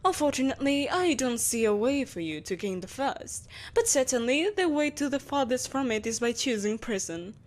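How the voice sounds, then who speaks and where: A woman's voice: high-pitched, expressive, normal speed, few pauses. A woman playing an imperious, seductive evil empress in a scripted roleplay audio drama, speaking in a haughty, theatrical, coaxing manner. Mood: coolly coaxing. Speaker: voice actor.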